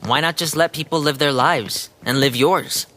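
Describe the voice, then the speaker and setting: A man's voice: a little high, normal speed, pushed and bright, a little hiss or hum. A man voicing a skeptical, blunt teenage boy in audiobook dialogue, questioning and matter-of-fact.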